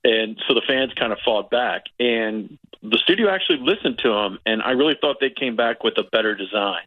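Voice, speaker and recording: A man's voice: mid-range voice, animated, a little fast, dry recording, narrow, tinny audio. Middle-aged man, film critic, casual radio chat, rambling, emphatic repeats, very dry sound. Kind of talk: casual movie review chat.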